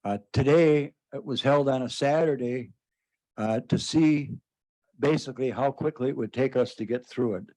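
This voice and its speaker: A man chairing a county board meeting, speaking in a loud voice with frequent halting fillers. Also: mid-range voice, poor audio.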